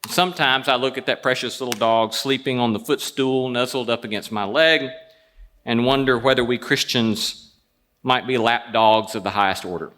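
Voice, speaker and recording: A man hosting a show, telling prepared stories and introductions in a wry, deliberate way. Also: medium pitch, pushed and bright. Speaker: show host introducing a guest. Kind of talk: prepared storytelling introduction before an audience.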